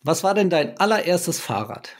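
A man in his thirties hosts a podcast from home over a video call. His voice is a bit husky, and he teases his guest and drives the questions. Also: high voice, normal speed. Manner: lively, joking, leads the questions. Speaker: podcast host. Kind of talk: casual chat.

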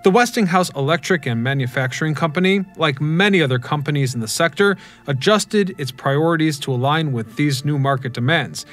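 A man in his thirties giving scripted documentary narration as a history channel host, in a measured, explanatory way, recorded in a dark studio on a boom mic. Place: dark studio with neon sign.

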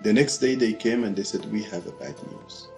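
Low-voiced middle-aged Sudanese man over music. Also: vocal fry. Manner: deliberate, short phrases with pauses. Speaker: testimony speaker. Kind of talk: personal testimony told to camera. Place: quiet furnished room with fireplace and bookshelves. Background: background music.

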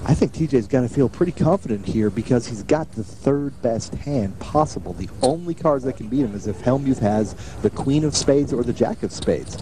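A man's voice: medium pitch, expressive, moderate pace, few pauses, dark tone, a little hiss or hum, poor audio. A man commentating on televised poker with wry, colorful phrasing. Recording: crowd murmur behind broadcast commentary.

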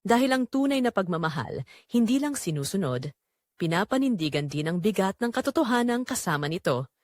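Actress as wounded young Filipino woman, reflective confession, audio drama narration, lively pitch swings, dry close sound. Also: a little fast, no echo, natural voice. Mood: reflective, sorrowful. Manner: earnest, reflective, emotive delivery. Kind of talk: scripted first-person dramatic narration in Tagalog audio drama.

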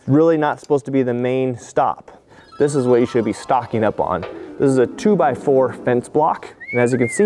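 A man's voice: mid-range voice, darkish tone, a little hiss or hum. A man showing off the welding fixtures he designed, explaining each one in a direct, plainspoken way. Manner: direct, plainspoken, enthusiastic about his tools. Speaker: tool maker demonstrating his products. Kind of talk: hands-on product demonstration. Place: metal fabrication shop at a fixture table.

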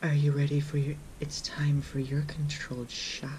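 A man voicing a menacing, accusing horror game robot in a drawn-out sinister whisper. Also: fairly high pitch, completely flat delivery, a little mellow, slight background noise, natural voice, low-quality recording. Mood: threatening.

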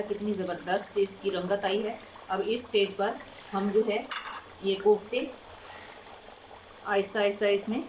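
A woman cooking-channel host explaining a home recipe step by step in a calm, unhurried way with frequent fillers. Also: mid-range voice, dull audio, slight background noise. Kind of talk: recipe instruction over cooking footage. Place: home kitchen.

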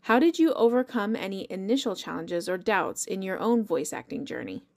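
Female host, medium pitch, posing prepared interview questions. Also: animated, normal speed, vocal fry, a little mellow.

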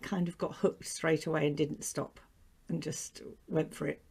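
A middle-aged woman with an English accent that has picked up a little New Zealand, talking casually over a webcam from her home sewing room. Her voice sits fairly low and has a gravelly edge, and she laughs easily as she chats. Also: fairly even intonation, a little slow, darkish tone. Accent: English with some New Zealand influence. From England. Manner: chatty, laughs often. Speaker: guest, quilt shop owner and designer. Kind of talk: casual interview chat. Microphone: video call webcam.

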